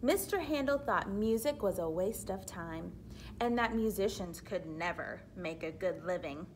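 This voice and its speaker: A woman reading a children's book aloud expressively, pausing to explain things simply like a teacher. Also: medium pitch, lively intonation, slight background noise. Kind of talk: read-aloud storytelling with explanatory asides for children.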